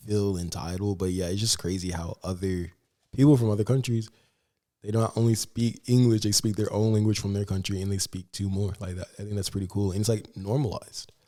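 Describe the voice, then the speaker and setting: A young man from the United States with a very low voice, chatting casually on a podcast about his travels. He keeps it loose and conversational, with lots of "like" and easy filler. The talk stays friendly and unhurried throughout. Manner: relaxed, chatty, many "like"s. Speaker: podcast co-host.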